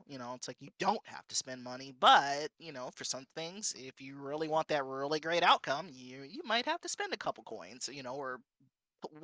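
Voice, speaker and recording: A woman streamer chatting casually as a panel guest, animated and jokey, acting out little quips as she talks. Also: sits low, no room sound. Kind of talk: casual chat.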